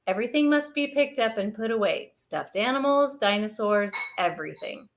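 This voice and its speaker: A woman voicing a brisk, orderly mother bear who chides and instructs, in a children's picture-book read-aloud. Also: mid-range voice, lively intonation, natural voice. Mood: firm.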